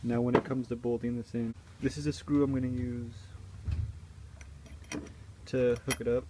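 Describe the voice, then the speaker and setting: Flat-toned man giving casual how-to, noisy recording. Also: mid-range voice, moderate pace. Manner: informal, offhand. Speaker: do-it-yourself video presenter. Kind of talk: casual hands-on how-to instruction. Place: in and around a car. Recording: clearly noisy car, handling clicks.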